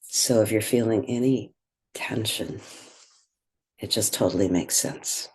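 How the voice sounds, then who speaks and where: A woman leading a guided meditation in gentle, unhurried phrases with pauses. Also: a bass voice, slight background noise.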